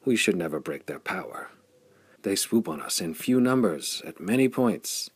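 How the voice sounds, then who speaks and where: Male reader as stern bitter war leader, deep, declamatory, formal, dry pulp audiobook sound. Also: animated, moderate pace, breathy edge, dry recording. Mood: defiant. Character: grave, bitter male commander warning of raiders.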